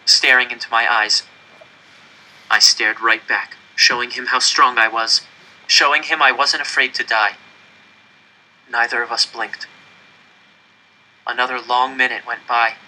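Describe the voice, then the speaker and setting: A man's voice: mid-range voice, sharp tone, low-quality recording. A man reading a first-person story aloud in an earnest, suspenseful narrating manner. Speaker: audiobook-style reader.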